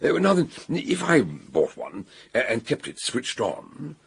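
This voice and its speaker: An actor playing a jovial, shrewd older British doctor-detective in a radio drama, with theatrical, courteous and deliberate delivery. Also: male voice, mid-range voice, huge pitch swings, husky, heavily acted. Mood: genial probing.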